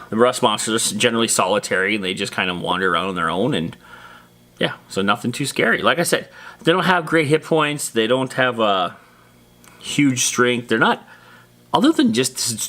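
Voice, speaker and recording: Bright-voiced man rambling casually about tabletop gaming. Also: fairly low pitch. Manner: animated, slangy, rambling with dramatic emphasis. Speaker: host of a tabletop roleplaying monster lore series. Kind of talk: casual unscripted explainer to viewers.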